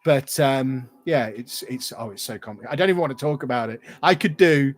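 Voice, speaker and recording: A man's voice: mid-range voice, fairly quick. Chatty man on a livestream. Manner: chatty, rambling, reads viewer questions aloud. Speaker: YouTuber hosting a livestream Q&A. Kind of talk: casual livestream chat.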